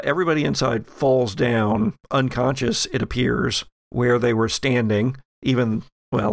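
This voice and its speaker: A man acting as game master, narrating an improvised horror tale in a measured way with dramatic pauses. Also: medium pitch, vocal fry, dry recording, studio quality.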